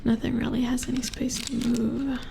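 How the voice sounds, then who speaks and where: A woman playing a calm, methodical robot repair engineer in a soft-spoken ASMR roleplay, murmuring with concentration close to the microphone. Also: on the high side, moderate pace, gravelly, background noise. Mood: calm, focused.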